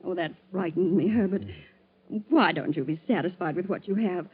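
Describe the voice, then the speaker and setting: An actress playing a devoted, anxious sweetheart with halting, emotional delivery in 1940s radio drama style. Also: female voice, fairly low pitch, slightly rough. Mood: worried, amazed. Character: devoted, anxious sweetheart woman, breathlessly astonished. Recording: tinny, muffled vintage radio recording.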